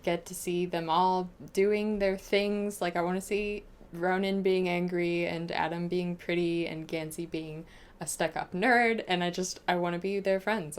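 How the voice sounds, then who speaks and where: A young American woman talking casually and informally to viewers as a book vlogger, gushing and animated as she answers questions. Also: lowish voice. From United States.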